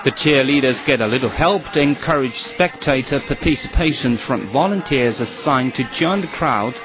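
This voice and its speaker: A man narrating a television news report in a measured, even scripted delivery. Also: medium pitch, music in the background. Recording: dull lo-fi broadcast audio with crowd and street noise.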